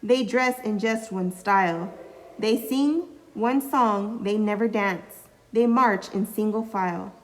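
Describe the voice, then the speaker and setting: A woman's voice: medium pitch, slow. Woman reading in long reverb. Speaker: school staff member reading aloud. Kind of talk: children's story read-aloud.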